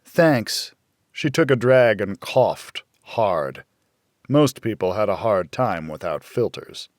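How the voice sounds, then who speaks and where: A man's voice: on the low side, animated, slow, no room sound, clean studio sound. An American man reading scripted first-person noir thriller narration as an audiobook narrator.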